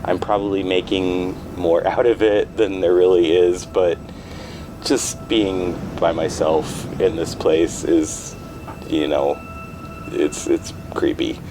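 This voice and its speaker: Man playing a low-voiced, hesitant, uneasy guy. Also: fairly even intonation, background noise. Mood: uneasy, grateful. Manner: hesitant, halting, sighing. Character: uneasy man living alone in a creepy house. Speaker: caller. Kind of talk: naturalistic audio drama phone conversation.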